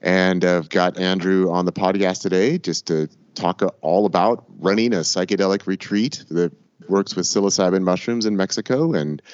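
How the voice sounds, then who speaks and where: Low-voiced, congested male podcast host. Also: normal speed, clean tone, no echo, muffled recording. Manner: relaxed, rambling with fillers. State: congested from a cold. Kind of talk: casual podcast talk.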